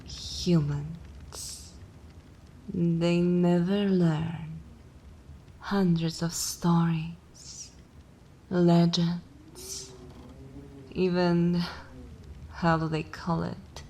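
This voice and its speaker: A woman playing an ancient, haughty vampire mistress in a dark fantasy ASMR roleplay, languid and theatrical with disdain, lapsing into whispers. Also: on the low side, level tone, drawn-out words, long pauses, slight background noise. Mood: scornful.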